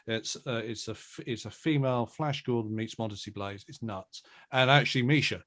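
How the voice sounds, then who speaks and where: A man's voice: mid-range voice, normal speed, a bit bright. A man speaking as a producer guest in a casual, conversational interview.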